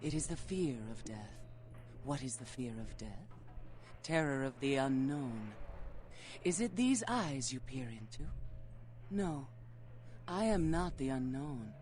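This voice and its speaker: A woman playing an ancient, unrepentant flesh-eating vampire in a video game, speaking in a formal, archaic, measured and ominous way. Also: very deep voice, expressive, a little mellow, a little hiss or hum, heavily acted.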